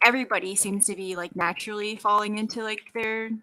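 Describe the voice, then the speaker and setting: A woman's voice: medium pitch, fairly even intonation, fast talker, sharp tone, slight background noise, poor audio. A woman giving a casual interview while gaming, speaking informally and peppering her sentences with "like". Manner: informal, heavy use of "like". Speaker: interview guest, first-time voice actor. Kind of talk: casual chat while playing a video game.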